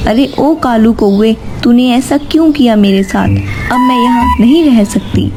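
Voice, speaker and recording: A woman voicing a young married bird in a children's cartoon, trusting but quick to protest. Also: a little high, loud music behind the voice, natural voice. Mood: distraught. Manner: earnest, protesting.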